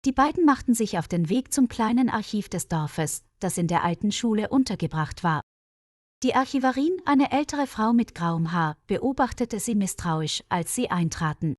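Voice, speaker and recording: A woman reading a scripted mystery story for language learners in a measured, careful storyteller's delivery. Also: medium pitch, animated, no room sound, clean studio sound.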